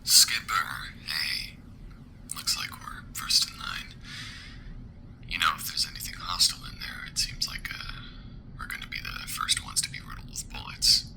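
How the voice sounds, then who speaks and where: A man playing a wry, hesitant alien crewmate in an ASMR sci-fi roleplay, speaking in a soft, breathy, husky voice. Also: sits low, moderate pace, natural voice, poor audio. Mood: wry, uneasy. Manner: hesitant, musing, dryly wry. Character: soft-spoken, hesitant, wry male alien crewmate and former rebel soldier.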